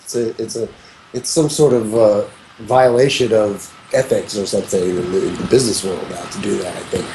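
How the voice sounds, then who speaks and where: A man in his early fifties speaks with a mellow, warm voice, drifting through long reflective thoughts and restarting his sentences as he talks. He is a guest in a relaxed interview, and a faint hiss of noise sits behind him. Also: fairly low pitch, a little fast, low-quality recording. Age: 50s. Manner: rambling, frequent restarts and "you know"s, reflective. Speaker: interview guest, music producer. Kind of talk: casual conversational interview.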